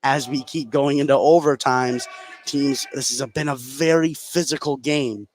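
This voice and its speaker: A man giving conversational color commentary on a live high school basketball game from inside a gym. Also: fairly even intonation, measured pace, darkish tone. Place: indoor gymnasium commentary position. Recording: live broadcast with gym crowd noise.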